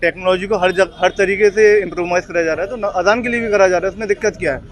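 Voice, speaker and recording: A man's voice: sits high, steady tone, rapid-fire. A man giving his opinion firmly and insistently in a street interview. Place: outdoors on a city street. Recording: outdoor street with traffic behind.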